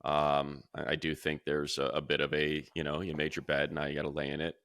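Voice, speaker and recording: A man talking football in a casual sports podcast chat from a room at home, rambling with restarts and growing animated when he compares players. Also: a bass voice, fairly quick, no echo, studio quality. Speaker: radio play-by-play announcer.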